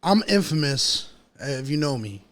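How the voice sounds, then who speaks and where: A New York man with a voice a little on the high side, speaking as a hip-hop artist in a relaxed interview. He tells stories casually in his local accent, with lots of slang and repeated filler phrases like a habit. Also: normal speed. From New York City. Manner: slangy, repetitive, peppered with "you know" and "yo".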